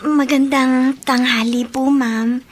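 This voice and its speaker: A timid teenage country girl in a Filipino radio drama. Her voice is very high and she speaks haltingly, with careful politeness. There is faint noise behind her. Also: fairly even intonation. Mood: polite, pleading. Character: timid sixteen-year-old country girl seeking maid work.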